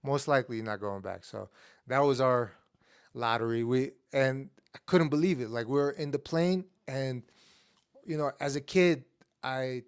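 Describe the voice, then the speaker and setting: Guest spot: young man with Armenian as his first language, smooth clear voice, mid-range pitch, easygoing storyteller who peppers his talk with "uh" and "like". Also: normal speed. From Estonia. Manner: relaxed, many fillers, acts out his younger self with rising excitement. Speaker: guest, MMA fighter. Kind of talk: casual interview storytelling.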